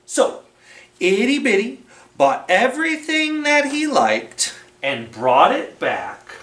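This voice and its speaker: A man reading a storybook aloud to children as a storytime host, expressive, with dramatic pauses and emphasis. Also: high-pitched. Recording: roomy, echoing room sound.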